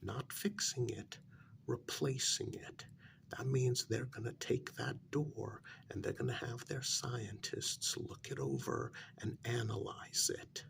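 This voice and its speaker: A man playing an anxious working-class older brother in a soft-spoken ASMR role-play, speaking in a hushed, deliberate, insistent way. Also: creaky. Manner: low, deliberate, insistent, half-whispered warning.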